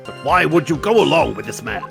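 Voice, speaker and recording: A man voicing a stern older judge with a solemn, formal manner and gravelly voice in a comedic game dub. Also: on the high side, sing-song, brisk, heavily acted. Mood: questioning. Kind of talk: comedic live fan dub of a video game. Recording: game music behind the voice.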